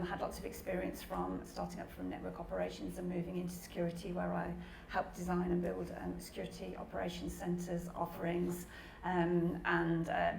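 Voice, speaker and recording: A woman on a conference panel speaks in a lowish voice full of heavy vocal fry. The room is echoey, with clear background noise. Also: darkish tone. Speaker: security consultancy panelist. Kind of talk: self-introduction on a conference panel.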